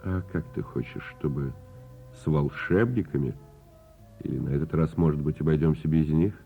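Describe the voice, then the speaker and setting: An actor playing a gentle, father-like storyteller telling a bedtime tale in a radio play, musing and expressive. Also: a bass voice, normal speed, vocal fry, narrow, tinny audio, faint music behind.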